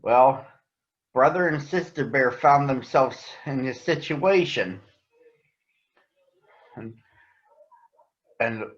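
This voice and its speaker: An American man addressing children and reading a picture book aloud in a deliberate, announcer-like way. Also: mid-range voice. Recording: echoey room, slightly dull sound.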